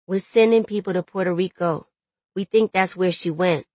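A woman voicing a loving, steadfast young woman in an audiobook, speaking in a gentle, earnest and reassuring way. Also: on the low side, fairly even intonation, moderate pace, no echo, lightly acted. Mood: pleading, reassuring. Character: loving, steadfast pregnant young woman soothing her jailed lover. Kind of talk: dramatic audiobook character dialogue.

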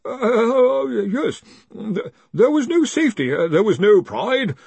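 A man's voice: very high voice, sing-song, takes it slowly, creaky, a little mellow, husky, no room sound, voice pushed up, heavily acted. A man voicing a timid, panicky young wizard in an audiobook, hesitant, apologetic and stammering to his superior. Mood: panicked. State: panting.

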